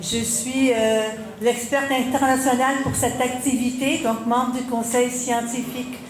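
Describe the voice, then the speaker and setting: Quebec woman running the session, lots of uh, higher voice, echoey hall. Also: little pitch movement. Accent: Quebec French. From Quebec, Canada. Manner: halting, frequent fillers. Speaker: conference moderator and expert. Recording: echoey hall with faint noise.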